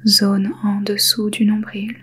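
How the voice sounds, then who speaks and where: A woman guiding a yoga nidra meditation in a soft, breathy half-whisper, calm and soothing, with unhurried pauses. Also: medium pitch. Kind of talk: guided meditation.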